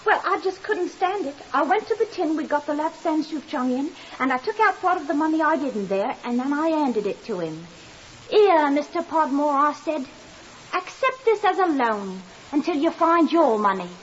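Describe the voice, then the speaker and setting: High-pitched, fretful landlady. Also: big pitch swings, normal speed. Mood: flustered, deferential. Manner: chatty, flustered, deferential. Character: fretful, chatty, deferential landlady lending money to her lodger. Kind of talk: 1950s radio drama acting. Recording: dull, hissy 1950s radio recording.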